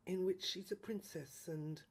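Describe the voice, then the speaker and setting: A woman voicing a haunted, transforming male scientist in an audio drama, with halting, ominous, melodramatic delivery. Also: fairly low pitch, steady tone. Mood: haunted, yearning. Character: tormented man scientist transforming, haunted and pleading.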